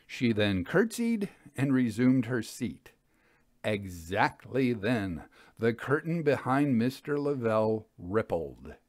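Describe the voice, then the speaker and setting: Male storyteller for dramatic fiction: medium-pitched, expressive melody, scripted narration. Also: slow pace, no echo, clean studio sound.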